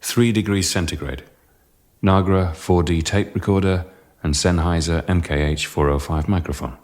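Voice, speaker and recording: An actor playing a weary, fed-up sound engineer in a radio drama, with a blunt, dry delivery. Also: male voice, sits low, steady tone, normal speed, darkish tone, natural voice. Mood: flat, fed up.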